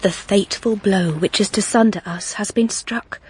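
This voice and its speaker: An actress playing an earnest, wistful young woman narrating her story in a measured, literary period-drama style. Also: mid-range voice, a little mellow, a little hiss or hum, natural voice. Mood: wistful, reflective.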